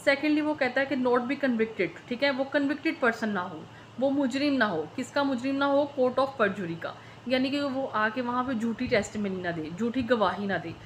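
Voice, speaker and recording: Higher-pitched Pakistani woman teaching, noisy recording. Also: animated, normal speed. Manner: code-switches between Urdu and English legal terms. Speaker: law teacher. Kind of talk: informal lecture explaining a legal text.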